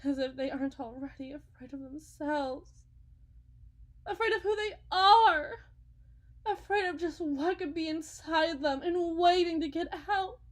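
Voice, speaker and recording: An unsettling female faith healer, acted by a woman in an audio roleplay, with a very high voice and a slow delivery. She preaches sweetly and theatrically, pleading as a self-styled prophet who is utterly fervent. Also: steady tone. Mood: sorrowful, resigned. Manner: theatrical, pleading, sing-song preaching with drawn-out words and sudden hushes. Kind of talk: dramatic ASMR roleplay monologue.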